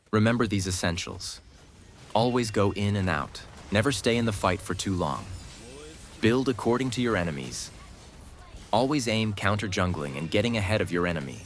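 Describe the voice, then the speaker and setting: Male gaming guide narrator, lowish pitch, instructive delivery with cocky trash-talk flavor. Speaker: gaming guide creator, commentator. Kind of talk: scripted game guide commentary.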